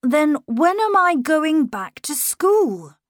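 A polite, charming young boy with a knowing, coaxing manner, his voice pitched high and lilting as a woman reads him in a storybook. He speaks a little louder and brighter than the narration around him, in a dry, close recording. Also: sing-song, slow pace, no echo, heavily acted. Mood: polite, insistent. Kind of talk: audiobook character voice.

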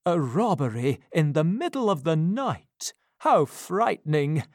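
A woman reading a storybook and voicing an excitable male doctor who fusses and exclaims. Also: low-pitched, unhurried, no room sound, clean studio sound, heavily acted. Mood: fussing. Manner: exclaiming, fussing.

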